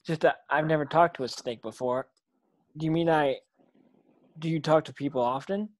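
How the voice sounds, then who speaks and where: A man's voice: fairly high pitch, moderate pace, natural voice. A man playing a meek, lonely, polite boy in an amateur screenplay table read. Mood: gentle, curious.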